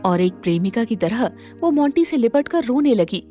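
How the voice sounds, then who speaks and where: A woman narrating a scripted cartoon moral story with expressive, dramatic storytelling. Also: on the high side, normal speed, soft background music.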